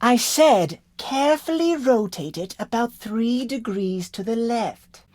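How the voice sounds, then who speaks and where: A woman's voice: fairly high pitch, animated, slow pace, a little mellow, dry recording, clean studio sound. A woman voicing a fussy, self-important male scientist in a video game, precise and officious in manner.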